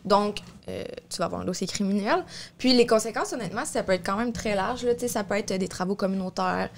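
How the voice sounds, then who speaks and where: A woman's voice: mid-range voice, fast, gravelly, slight background noise. A young woman with a Québécois French accent, a support worker explaining things as a guest on a podcast in a small studio, with many fillers and self-corrections. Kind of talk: casual podcast discussion. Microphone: studio mic on boom arm, headphones.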